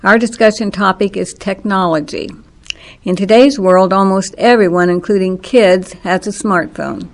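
An older woman from Kansas leading an oral history interview with measured, formal questions. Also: medium pitch.

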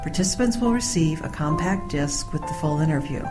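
A woman reading a scripted introduction to an oral history program in an even, read-aloud delivery. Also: low-pitched, fairly quick. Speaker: program announcer. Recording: music bed behind voice.

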